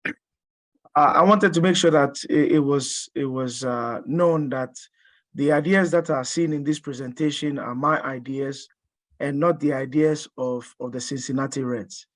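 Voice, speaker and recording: A man's voice: mid-range voice, measured pace, dry recording. Hesitant Ghana-born man giving a webinar talk. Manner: hesitant, many fillers and restarts. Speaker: strength and conditioning coach. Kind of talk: webinar presentation.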